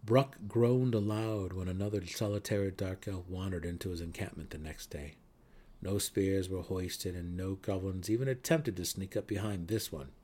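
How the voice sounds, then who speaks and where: A man's voice: sits low, moderate pace, mellow. A man narrating a fantasy story in a dramatic reading style.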